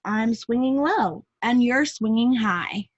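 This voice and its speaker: Silly mischievous puppet boy for a storybook, read by a woman, high and teasing, lo-fi sound. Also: expressive, takes it slowly. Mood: playful. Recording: dull lo-fi home recording.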